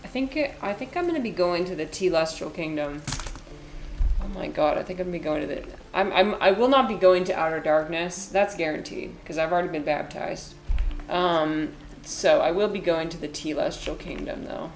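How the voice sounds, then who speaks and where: A woman's voice: on the low side, normal speed. A woman in her twenties chatting and giggling as she streams a video game, rambling casually. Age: 20s. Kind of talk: casual gameplay commentary. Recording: echoey room with faint noise.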